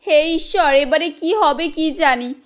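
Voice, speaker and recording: A woman's voice: high voice, a little slow. A woman voicing an earnest, polite and determined young mother in a family cartoon. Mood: anxious.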